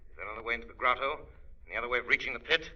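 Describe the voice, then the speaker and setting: An actor playing a mysterious unseen crimefighter in a 1930s radio drama, commanding and resolute, with deliberate diction. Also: male voice, mid-range voice, bright, forceful tone. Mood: urgent, commanding. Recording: dull old 1930s radio recording.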